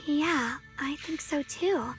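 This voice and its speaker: A young female adventurer wonders aloud in a very high voice. She is thoughtful and inquisitive, a game heroine puzzling out a mystery. Faint music sits behind her. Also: takes it slowly, a bit bright, poor audio. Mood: apologetic. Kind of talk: video game voice acting.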